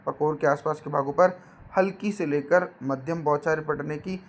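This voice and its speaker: Hoarse man reading a weather forecast. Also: on the high side, moderate pace. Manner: steady listing of place names, addressing viewers as friends. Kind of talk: regional weather forecast narration.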